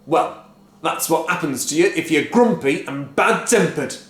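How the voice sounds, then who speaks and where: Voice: mid-range voice, big pitch swings, takes it slowly, pitched above the performer's own voice. A reader voicing a gleeful, mean-spirited husband who talks in a raised, mocking voice, recorded in a roomy, echoing space. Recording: roomy echo.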